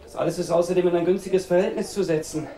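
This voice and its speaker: A man's voice: high-pitched, steady tone, fast, mellow, a little hiss or hum, lightly acted. A man acting an earnest, intense undercover timber dealer in a German radio drama, with precise, articulate delivery. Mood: businesslike.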